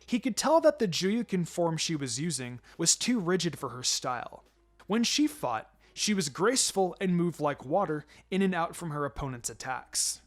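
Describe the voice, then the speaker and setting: A man's voice: on the high side, sing-song, moderate pace. A man reading fan fiction aloud as a narrator, in a dramatic scripted storytelling style. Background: music.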